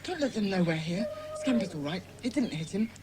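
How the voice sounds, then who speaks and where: A woman's voice: medium pitch, lively intonation, fairly quick, lightly acted. A woman acting a bossy, sensible schoolboy club leader in a dramatised children's audiobook. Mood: commanding. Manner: commanding, sensible.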